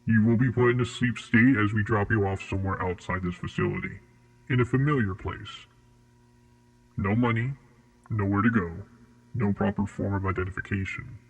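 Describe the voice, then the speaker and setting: A man acts out a cold facility interrogator in a horror audio drama. The voice is low and rough-edged, husky in texture, with formal, detached phrasing that stays measured. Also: rough recording. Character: cold, clinical male interrogator at a secret facility.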